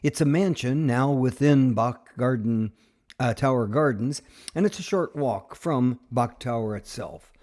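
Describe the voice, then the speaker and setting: Mellow, halting man narrating a travelogue. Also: mid-range voice. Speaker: travel show host and narrator.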